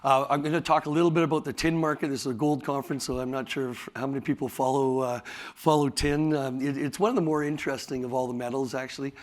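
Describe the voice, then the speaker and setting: A man with a raspy voice, tired from talking all day, presenting his company at a conference in a halting way, with many fillers and restarts. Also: medium pitch, steady tone. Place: conference hall.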